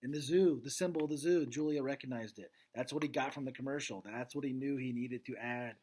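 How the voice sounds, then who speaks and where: A man reading a children's book aloud with expressive narration and casual asides. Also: medium pitch, brisk, slightly rough. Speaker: storybook reader.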